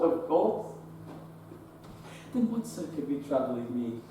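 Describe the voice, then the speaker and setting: Young man as an anguished Victorian surgeon on stage, dark tone, heard from afar in a reverberant theater. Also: high-pitched, a little hiss or hum. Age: young adult. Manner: halting, then impassioned outbursts. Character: troubled Victorian surgeon Treves, despairing. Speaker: stage actor. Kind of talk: teen stage play performance. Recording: echoey theater recorded from far off.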